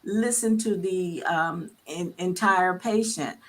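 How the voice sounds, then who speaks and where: A woman's voice: on the low side, slow. A woman physician talking earnestly in a casual panel conversation, pressing her points and tagging them with "okay?".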